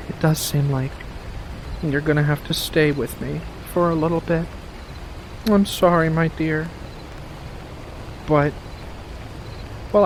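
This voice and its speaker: Slow, soft-spoken doting witch ASMR performer acting. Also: mid-range voice, slight background noise, close to an everyday voice, poor audio. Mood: cooing, delighted. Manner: slow, cooing, coaxing, with hums and pet names. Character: doting, playful furry witch, sweetly possessive.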